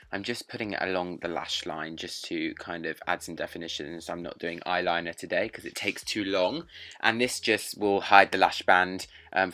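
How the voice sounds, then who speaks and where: A man's voice: low voice, pushed and bright. A young man with a sore throat, narrating a makeup tutorial step by step in a chatty way. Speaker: drag performer and makeup vlogger.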